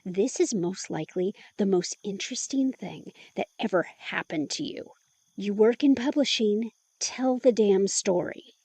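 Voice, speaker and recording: A woman's voice: mid-range voice, a little slow, darkish tone, slightly rough, no room sound. A woman acting a curious, teasing helper in an intimate audio drama, playful and blunt as she prods for more. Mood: prodding, blunt.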